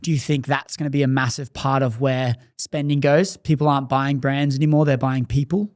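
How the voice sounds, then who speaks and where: A man's voice: mid-range voice, steady tone, fairly quick, a little mellow, dry recording, clean studio sound. Rambling podcast host, a man. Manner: rambling, filler-heavy questions. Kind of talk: casual interview questions.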